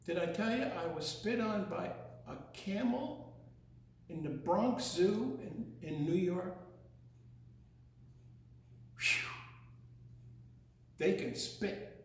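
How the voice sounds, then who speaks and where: A man's voice: high voice, expressive, takes it slowly, long pauses. A man telling a rhyming children's story playfully, stretching words into singsong and dropping in chatty asides and animal noises. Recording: very echoey room.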